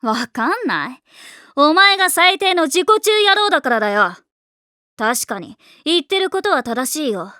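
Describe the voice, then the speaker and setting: Anime tomboy schoolgirl, high voice, bright and forceful, rough slang, blunt, hot-tempered. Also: very animated, normal speed, no echo, studio quality, exaggerated voice. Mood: hurt, angry. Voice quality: rough, slangy boyish speech. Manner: blunt, defensive. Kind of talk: anime voice acting.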